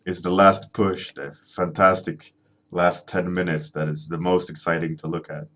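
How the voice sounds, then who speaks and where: A man in his thirties from Sweden with a deep voice, chatting casually and rambling as he streams himself drawing, answering his chat at a desk microphone. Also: little pitch movement, a bit bright. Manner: rambling, halting, many ums and restarts, chuckling, answering chat. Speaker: artist streaming a drawing session.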